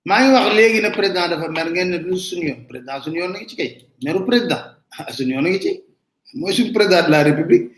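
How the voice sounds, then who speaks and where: A man's voice: high-pitched, animated, quick pace, reverberant. A man with a Senegalese accent giving emphatic, casual live political commentary straight to his viewers. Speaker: online commentator.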